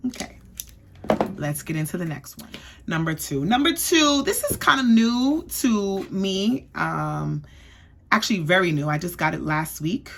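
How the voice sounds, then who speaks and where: A woman's voice: fairly low pitch, lively intonation, measured pace, gravelly, fairly bright tone, a little hiss or hum. A young woman from the East Coast giving a chatty, sassy perfume review, often checking in with "okay?". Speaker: beauty vlogger.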